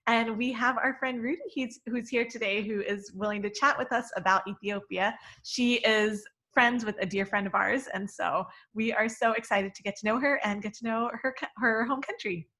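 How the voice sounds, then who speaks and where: A woman's voice: a little high, sharp tone. Upbeat woman hosting a video chat. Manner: upbeat, quick to praise. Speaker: host. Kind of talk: casual video call chat.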